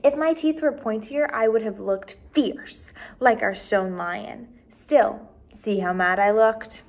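A young woman with a fairly high voice reads a storybook aloud as a teacher would for small children. Her narration is expressive and carefully enunciated, with emphatic phrasing. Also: takes it slowly. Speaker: first grade teacher reading aloud.

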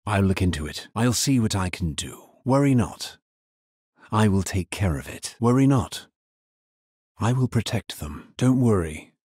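An actor playing a grim, dutiful necromancer adventurer in a video game, with a grave, measured, terse delivery and a husky voice. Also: male voice, on the low side, animated, a little mellow, dry recording, studio quality. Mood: resolute. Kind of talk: video game character voice lines.

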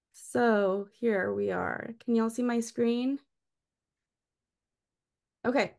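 A woman from Costa Rica gives an online lecture with slides, her voice sitting a little high. She talks in a friendly, explanatory way, like chatting with students. She is heard through a webcam mic on a video call. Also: a little mellow.

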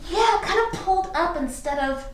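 A woman's voice: very high-pitched, lively intonation, moderate pace. A young woman from Atlanta chatting casually as a client in a treatment room. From Atlanta, United States. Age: young adult. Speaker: client, performer. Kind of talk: casual chat. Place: indoor chiropractic treatment room, speaking through face mask. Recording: echoey room, distant mic, faint noise.

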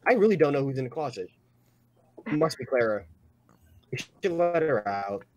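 Animated man, wry livestream banter. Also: medium pitch, normal speed. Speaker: voice actor on stream.

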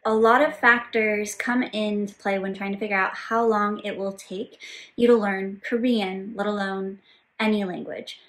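A woman in her thirties, the resident polyglot, answering viewer questions in a clear, explanatory way from a home office. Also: mid-range voice, fairly even intonation, normal speed, fairly bright tone. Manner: clear, explanatory, gestures with both hands. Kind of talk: scripted Q&A to camera. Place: home office with bookshelves and world map, indoors.

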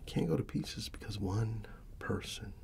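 A middle-aged American man doing a soft-spoken ASMR barber roleplay, breathy and often near a whisper, with an unhurried, halting, fatherly manner. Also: on the low side, expressive. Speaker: ASMR performer playing a barber.

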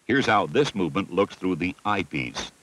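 Deep-voiced American man narrating instruction. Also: expressive, normal speed, a bit bright, slight background noise, poor audio. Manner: clear, methodical, instructive. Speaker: narrator of a military training film. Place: voice-over recording.

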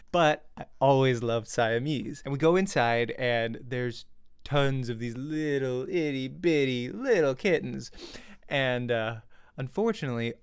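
Young guy vlogging at home, chatty storytelling, super lively pitch, smooth clear voice, close mic. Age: young adult. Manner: animated, chatty, acts out reactions and quotes. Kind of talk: casual personal storytelling. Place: small home room. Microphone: desk studio mic with pop filter, close.

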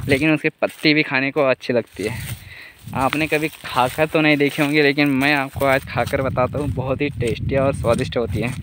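A man's voice: mid-range voice, background noise. A young man giving a chatty walking tour of his farm, talking to viewers through a phone held at arm's length outdoors. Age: young adult. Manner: chatty, addresses viewers as friends. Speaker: vlogger. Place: outdoors on a dry farm among trees.

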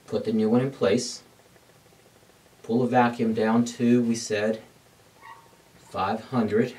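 A middle-aged man teaching an HVAC lesson at a glass board in a dark studio, explaining each step and answering his own questions. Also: lowish voice, distant mic, low-quality recording. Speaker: HVAC instructor. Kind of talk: whiteboard technical lesson.

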